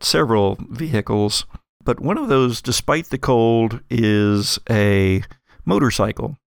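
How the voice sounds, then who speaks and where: A man narrating an atmospheric tabletop horror game as game master, in a measured, deliberate way. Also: on the low side, vocal fry, smooth, dry recording, studio quality.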